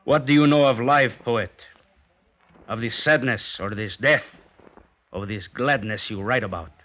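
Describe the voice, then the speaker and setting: An actor playing a proud, brooding Mexican guitarist with a Mexican Spanish accent, in a 1950s radio drama. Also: male voice, medium pitch, fairly bright tone, lightly acted. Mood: scornful. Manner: slow, grave, challenging. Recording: vintage 1950s radio broadcast.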